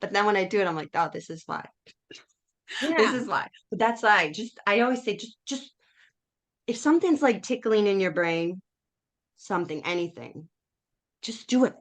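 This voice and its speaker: A woman's voice: medium pitch, lively intonation, normal speed, long pauses. A woman chatting casually as a podcast guest, speaking emphatically and encouragingly, often voicing her own thoughts as quotes. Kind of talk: casual chat.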